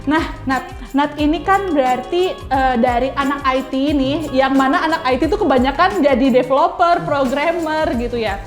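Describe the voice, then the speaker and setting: A woman's voice: high voice, moderate pace, hardly any pauses. A young woman hosting a casual, chatty interview, reacting often in an informal way. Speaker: host. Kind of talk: casual talk-show interview. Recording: roomy echo with music behind.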